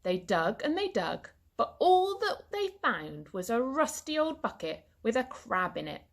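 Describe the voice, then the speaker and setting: A woman reading a children's story aloud in an expressive, deliberate way, like a primary school teacher. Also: fairly high pitch. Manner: animated, deliberate.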